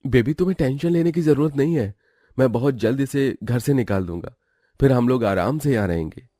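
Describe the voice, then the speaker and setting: A man's voice: mid-range voice, animated, brisk, mellow, no room sound, clean studio sound, natural voice. A man voicing a callous, selfish young husband with an emphatic, self-pitying manner in a cartoon story. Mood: reassuring.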